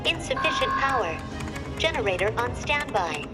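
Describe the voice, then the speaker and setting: A woman's voice: a little high, very animated, moderate pace. A woman voicing an impassive automated facility announcement system, formal and clipped, in a video game. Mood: impersonal. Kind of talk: computer announcement. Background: loud music.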